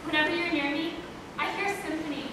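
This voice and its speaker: A woman acts a lovesick young heroine in a stage parody. Her gushing voice is extremely high. It sounds distant in a reverberant, noisy hall. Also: steady tone, fast talker, natural voice. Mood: lovestruck. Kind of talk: parody romantic stage acting.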